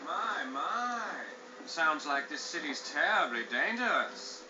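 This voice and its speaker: A man's voice: fairly high pitch, very animated, takes it slowly. A man playing a mocking, menacing underworld thug in a video game, drawling his veiled threats with sarcasm. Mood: sly sarcasm. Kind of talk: video game villain acting. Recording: dull, roomy game audio under loud music.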